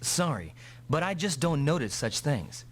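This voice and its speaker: An actor playing a calm, determined male mech pilot in an anime-style English video game dub. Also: on the high side, a little slow, a little hiss or hum. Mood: calm, matter-of-fact.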